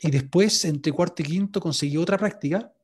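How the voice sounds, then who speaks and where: A man's voice: a little high, a little fast, husky. Man telling his story. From Chile. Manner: relaxed storytelling with frequent fillers and restarts. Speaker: sports journalist guest. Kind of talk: interview answers.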